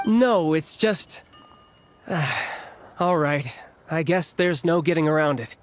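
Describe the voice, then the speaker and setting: An actor playing a cordial young male high-ranking agent in a video game, talking in a casual, glib way. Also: animated, takes it slowly. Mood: reluctant explaining. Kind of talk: video game cutscene acting. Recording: background music under the voice.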